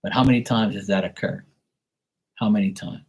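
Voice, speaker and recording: A man's voice: lowish voice, steady tone, brisk, a little mellow, breathy edge, rough recording. A man from Bermuda, hosting a live stream, talking bluntly and profanely in a rambling casual rant. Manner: blunt, profane, rambling, acts out quoted voices.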